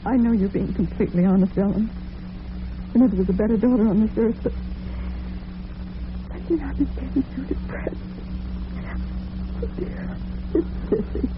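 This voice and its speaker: A woman's voice: a little high, moderate pace, pauses often, natural voice. An actress playing a weary, loving, ailing older mother in old-time radio drama, with a plaintive manner. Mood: despondent. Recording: noisy, tinny vintage radio recording.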